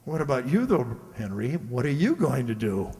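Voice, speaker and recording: Man acting anxious husband, animated pitch. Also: moderate pace. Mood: worried. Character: anxious, shaken husband, unsure what to do. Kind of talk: live radio play acting. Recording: echoey hall with long reverb.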